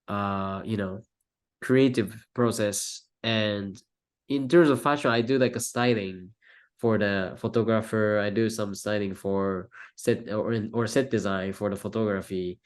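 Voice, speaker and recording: Low-voiced young Japanese-accented man. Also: clean tone. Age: young adult. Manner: halting, many fillers and restarts. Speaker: guest, film student. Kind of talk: casual interview answers.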